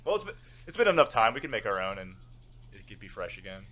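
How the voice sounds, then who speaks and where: A man's voice: lowish voice, sing-song, fast, sharp tone. A young man co-hosting a podcast in casual, comedic chat. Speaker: podcast co-host.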